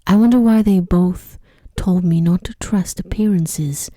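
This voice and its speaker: A woman voicing an innocent, wondering young fairytale heroine in a soft, whispery ASMR storybook reading. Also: lowish voice, measured pace, dry recording, clean studio sound. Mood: puzzled musing. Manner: gentle, musing.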